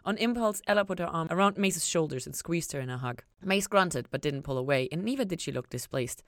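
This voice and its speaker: A woman reading fiction aloud as an expressive narrator on a podcast. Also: lowish voice, brisk, no echo, studio quality. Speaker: reader of a fan fiction podcast.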